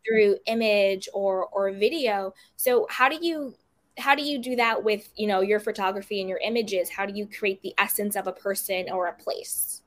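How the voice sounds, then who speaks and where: An upbeat woman hosts a relaxed podcast chat. She talks loosely and warmly, with lots of "like" and "you know" as she works toward her questions. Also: mid-range voice, normal speed. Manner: chatty, upbeat, many fillers like "like" and "you know". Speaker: podcast host. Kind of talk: casual interview chat.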